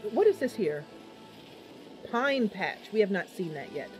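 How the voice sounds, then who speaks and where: A woman streamer talks through a fantasy game in a casual way, mixing commentary with story narration. Her pace is slow and unhurried, and music plays behind her. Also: medium pitch, sing-song. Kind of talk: casual live gameplay commentary with roleplay narration.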